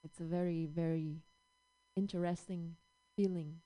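Vietnamese-accented woman guest, low-ish voice, halting, says like a lot. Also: little pitch movement, mellow. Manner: halting, searching for words, lots of "like". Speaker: guest singer. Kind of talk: casual interview chat.

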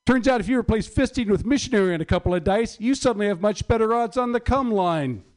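A middle-aged man doing stand-up comedy in a notably high, clean and smooth voice. He delivers his material with wry sarcasm and grumbles profanely as he goes. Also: expressive, quick pace, a little mellow.

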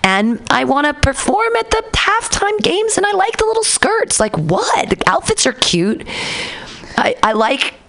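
A woman hosting a casual radio talk show, chatty and expressive, jumping into high dramatic voices as she acts out her stories. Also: a little slow. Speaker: host.

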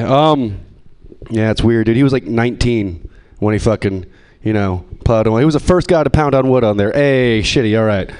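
A man's voice: medium pitch, a little mellow, clear, resonant tone. A man hosting a comedy open mic and doing a crude, offhand stand-up set. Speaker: comedy show host.

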